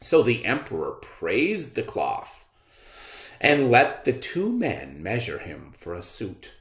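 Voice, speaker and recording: A man reading a storybook aloud in a deliberate, theatrical narrating style. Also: lowish voice, huge pitch swings. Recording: roomy echo.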